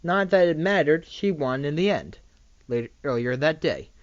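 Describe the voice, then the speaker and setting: A lively amateur storyteller with a lisp reads aloud in a swinging pitch. The delivery is halting, with misread words quickly fixed. It is recorded dry and close on a slightly dull microphone. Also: dry recording. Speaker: amateur reader of fan fiction. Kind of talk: reading a story aloud, with stumbles and asides.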